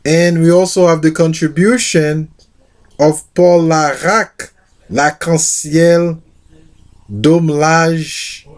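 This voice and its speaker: A middle-aged man who introduces a poetry collection and reads a poem aloud, speaking haltingly with many fillers. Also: fairly high pitch, steady tone. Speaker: literature teacher.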